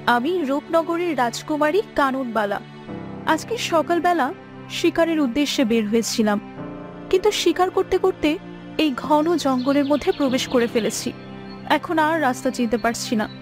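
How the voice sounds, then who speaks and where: Lady doing a plaintive lil fairy tale princess, super high, music going behind. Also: lively intonation, normal speed. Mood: distressed, pleading. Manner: plaintive, polite, imploring. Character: pleading young princess, lost and helpless. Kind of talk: cartoon fairy tale voice acting.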